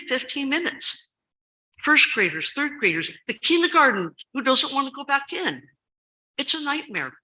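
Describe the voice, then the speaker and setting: Loud, urgent older woman, very bright voice. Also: on the high side, low-quality recording. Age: 70s. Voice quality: loud, carrying voice. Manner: urgent, emphatic storytelling with repeated stress words. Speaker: school recess staff member giving public comment. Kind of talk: public comment at a school board meeting.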